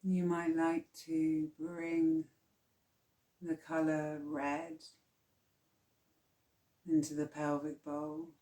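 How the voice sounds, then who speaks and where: A woman teaching yoga nidra, guiding relaxation in a calm, soothing, hushed way with long pauses. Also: sits low, echoey room. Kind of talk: slow guided relaxation meditation.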